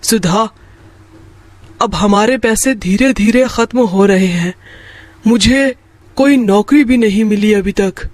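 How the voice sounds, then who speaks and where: A man's voice: sits high, slow pace, long pauses, no room sound. A man voicing a meek, luckless young son and husband in a children's moral story. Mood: worried.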